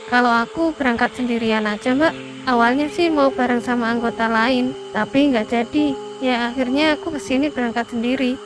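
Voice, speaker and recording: A woman acting as a young female mountain hiker in a cartoon, friendly and chatty. Music and chirping insects sit clearly behind the talk. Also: fairly high pitch, steady tone, normal speed, lightly acted. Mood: polite, chatty.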